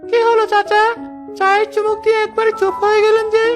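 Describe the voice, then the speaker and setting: Casting: eager cartoon village kid, very high squeaky pitch, done by a man, music underneath. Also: completely flat delivery, fast talker. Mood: eager, polite. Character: eager young village child helping mother. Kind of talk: cartoon dub. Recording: music behind the voice.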